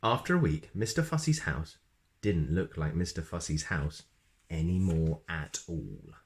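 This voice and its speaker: A man reading a children's story expressively, with playful emphasis and dramatic pauses. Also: low voice, sing-song. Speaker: storybook reader.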